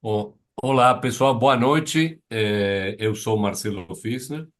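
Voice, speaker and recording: Deep-voiced Argentine man, upbeat. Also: takes it slowly. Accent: Argentine Spanish. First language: Spanish. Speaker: board advisor and business mentor. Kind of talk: self-introduction on a live panel. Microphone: video call.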